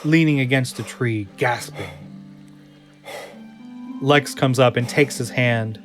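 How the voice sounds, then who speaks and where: A man delivers scripted dramatic narration for an audio drama. He is deliberate and suspenseful, slow-paced and mid-pitched, with prominent music underneath.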